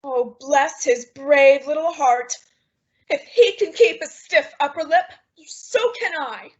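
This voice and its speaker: An actress playing a devoted housewife putting on a brave front in an old radio comedy. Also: female voice, high-pitched, slow pace. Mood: brave, tender. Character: devoted housewife wife, bravely hiding tearful worry. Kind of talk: 1950s radio sitcom acting. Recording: dull vintage radio recording.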